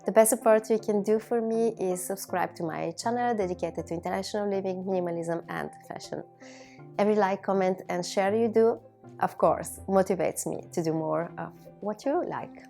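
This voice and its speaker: A woman in her thirties from Bulgaria, a native Bulgarian speaker, giving a reflective personal monologue to viewers in measured, deliberate phrases. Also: medium pitch, vocal fry, dark tone, soft background music. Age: 30s. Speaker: video blogger.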